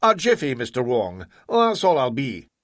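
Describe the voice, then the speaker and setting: A man voicing a rough-spoken Scottish man, desperate and strained, in an audiobook story. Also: medium pitch, huge pitch swings, moderate pace, a bit bright, no room sound, clean studio sound.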